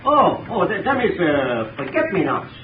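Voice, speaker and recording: A dopey excitable mobster, high voice, sounds far off on muffled old radio. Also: male voice, moderate pace. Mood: excited, slangy. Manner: slangy, ungrammatical tough-guy talk. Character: excitable, dim-witted male gangland mobster at a funeral. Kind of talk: 1930s radio drama acting. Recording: distant, muffled, noisy old radio recording.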